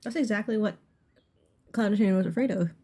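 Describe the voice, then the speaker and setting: A woman's voice: medium pitch, fast talker, dark tone, slightly rough. A woman giving casual live commentary as she streams a video game. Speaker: game livestreamer. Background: faint game music.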